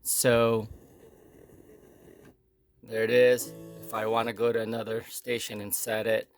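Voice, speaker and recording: A man giving a casual, unscripted hands-on demo, explaining plainly in short, halting phrases with pauses between them. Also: fairly low pitch, flat delivery, faint music behind. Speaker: product demonstrator.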